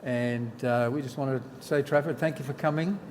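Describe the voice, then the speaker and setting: A man's voice: medium pitch, steady tone, normal speed, slight background noise. A man, a pastor, gives a short welcome to a guest speaker. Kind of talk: welcome announcement.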